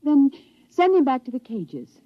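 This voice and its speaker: A woman's voice: high voice, big pitch swings, a little slow, dry recording. An actress playing a troubled, tender young woman with an earnest, emotional 1950s radio drama delivery. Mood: pleading, anxious. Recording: dull vintage radio drama recording.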